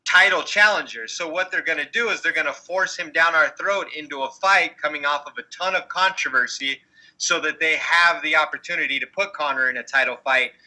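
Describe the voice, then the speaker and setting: A man with a very bright, sharp voice set a little high. He is a podcast co-host giving casual but opinionated sports analysis, arguing and explaining his points. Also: fairly quick, rough recording. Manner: argumentative, explanatory.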